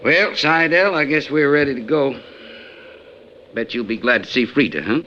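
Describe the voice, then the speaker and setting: An actor playing a wary, bossy outlaw gang leader in an old radio western, with a folksy, menacingly polite frontier manner. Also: male voice, mid-range voice, normal speed, pushed and bright, lightly acted. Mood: mocking. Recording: dull, noisy 1950s radio recording.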